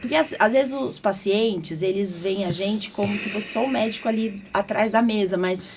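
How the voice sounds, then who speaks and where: A woman's voice: medium pitch, normal speed, background noise. A woman with a Brazilian accent hosting a podcast, chatting informally and often adding little check-in tags. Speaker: podcast host, doctor. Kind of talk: casual conversational interviewing.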